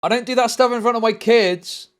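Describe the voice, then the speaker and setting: A middle-aged man with a British accent hosting a livestream, chatting casually and telling stories in a rambling, sweary, animated way. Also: very high voice, brisk, smooth. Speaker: livestream host. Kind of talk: casual chat to a livestream audience.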